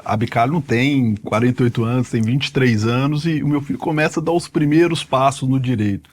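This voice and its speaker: A man in his forties hosts a podcast and leads the interview with eager, emphatic phrasing. His voice sits in a middling pitch for a man. He frames his questions warmly and keeps the exchange moving. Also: fairly even intonation, a little fast. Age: 40s. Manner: enthusiastic, emphatic introductions. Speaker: podcast host.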